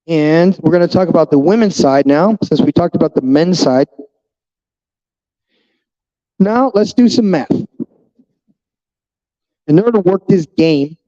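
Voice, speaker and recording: A man's voice: sits high, mellow, dull audio. A fifty-year-old man talking bluntly and profanely to his viewers in a casual vlog monologue. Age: 50s. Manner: talks straight to viewers. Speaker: vlogger.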